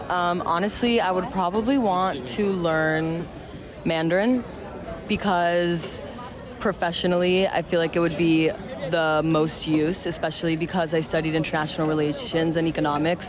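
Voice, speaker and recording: A woman answering as an interviewee in a measured, practical way. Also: low voice, fairly even intonation, a crowd in the background. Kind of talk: casual chat.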